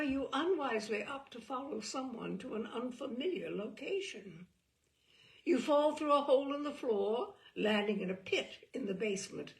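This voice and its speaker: A woman reading a book aloud as a storyteller, theatrical and expressive, with a wry, arch delivery. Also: fairly high pitch, gravelly.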